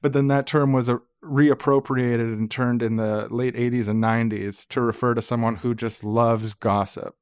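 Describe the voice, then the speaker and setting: A man's voice: medium pitch, fairly even intonation, normal speed, hardly any pauses, smooth, no echo, clean studio sound. A man co-hosting a podcast, explaining things in a casual, wry, informal way. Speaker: podcast co-host. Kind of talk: casual conversational discussion.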